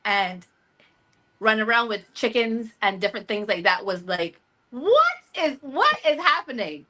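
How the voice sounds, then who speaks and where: A woman born in Philadelphia and raised in Barbados, with an American accent that carries a Barbadian lilt, telling stories animatedly as an interview guest on a video call. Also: measured pace. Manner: animated, reenacts her own reactions with a high voice. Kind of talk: casual interview storytelling. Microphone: video call mic.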